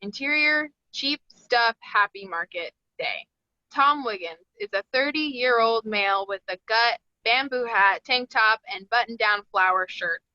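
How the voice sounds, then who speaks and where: A woman narrates a script's stage directions at a table read. Her voice is bright and mid-pitched, recorded very dry and slightly dull in fidelity. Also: measured pace, dry recording.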